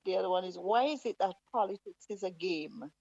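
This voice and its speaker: A woman hosting a talk show, playful and unhurried as she reads listener comments aloud. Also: mid-range voice, gravelly, darkish tone, no room sound. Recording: dull lo-fi dry sound.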